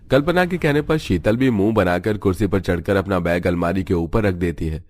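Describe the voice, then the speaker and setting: Low-voiced man narrating a cartoon story. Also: a little fast, a little mellow, soft background music.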